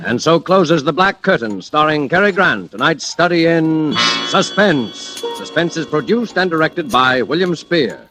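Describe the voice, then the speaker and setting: Male program announcer, 1940s radio style, fairly high voice over prominent music. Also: lively intonation, a little slow, hardly any pauses. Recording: old radio broadcast over loud music.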